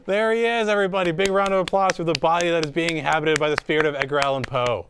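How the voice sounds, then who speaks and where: A man hosting an improvised comedy interview in a casual, joking, halting way. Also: a little high, steady tone, noisy background. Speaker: comedy show host.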